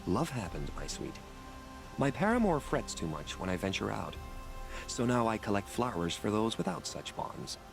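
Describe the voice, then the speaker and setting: An actor playing a romantic merchant of wild flowers in a video game, speaking in florid, theatrical, gallant phrases. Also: male voice, fairly low pitch, big pitch swings, moderate pace, vocal fry, over music, rough recording. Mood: wistful, proud. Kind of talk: video game character dialogue.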